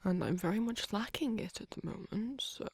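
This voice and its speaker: A woman voicing a flirty, gently protective vampire lover in an intimate ASMR romance roleplay, with a husky, breathy, often whispered voice and tender, coaxing manner. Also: mid-range voice, no echo, studio quality. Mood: concerned, coaxing. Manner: tender, unhurried, coaxing endearments.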